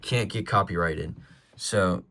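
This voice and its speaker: A man with a fairly low voice talks casually through items in his collection. His delivery is informal and rambling, and he freely offers his own opinions as he goes. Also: slow pace, noisy background. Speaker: collector presenting a weather radio collection. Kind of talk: casual product show-and-tell.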